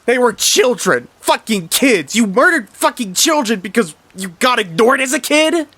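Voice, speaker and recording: A man's voice: very high voice, very animated, moderate pace, sharp tone, husky, no echo, pitched above the performer's own voice, full character voice. A man voicing an anguished male fish who screams in rage at his brother. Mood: enraged.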